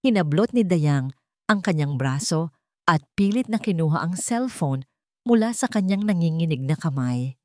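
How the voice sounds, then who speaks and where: A woman's voice: lowish voice, lively intonation, moderate pace, warm tone, no echo, clean studio sound. A woman reading a dramatic story as a scripted narration.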